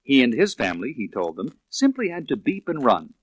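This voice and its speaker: A man's voice: medium pitch, wildly swooping pitch, brisk, no room sound. A man narrating a children's read-along story in a scripted storytelling style.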